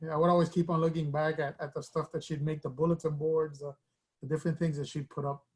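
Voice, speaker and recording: A man's voice: fairly high pitch, level tone, fast talker, a little mellow. A man from Miami, a guest artist, telling his story in a reflective, rambling way with many restarts. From Miami, United States. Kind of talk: casual interview storytelling.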